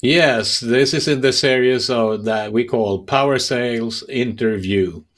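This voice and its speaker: A man interviews a guest as podcast host. His pitch is average for a man. He talks conversationally, with halting phrasing and repeated word starts. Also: fairly bright tone. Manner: halting, repeats words as they search for phrasing.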